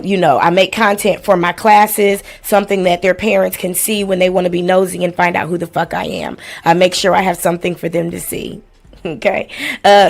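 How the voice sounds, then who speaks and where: Blunt, playful woman in her forties livestreaming. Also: medium pitch. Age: 40s. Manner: blunt, playful, profane, slangy. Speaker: livestream host. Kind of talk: casual livestream chat with house rules and shout-outs.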